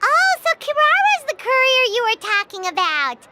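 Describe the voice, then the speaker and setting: A woman voicing a tiny, excitable female fairy companion who talks about herself by name. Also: very high voice, moderate pace, very noisy. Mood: curious. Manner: chirpy, refers to herself by name. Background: game music.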